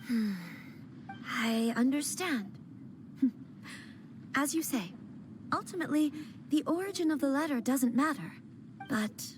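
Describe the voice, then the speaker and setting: A woman voicing a gentle young noble lady who speaks hesitantly with soft sighs in a game. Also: sits high, a little hiss or hum. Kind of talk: video game voice acting. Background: game music.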